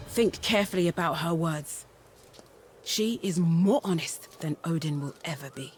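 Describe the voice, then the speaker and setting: A woman's voice: low voice, moderate pace, natural voice. A woman voicing a sardonic rival warrior in a video game, with a dry manner and music behind. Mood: cool warning. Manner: taunting, dry. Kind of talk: dramatic video game acting.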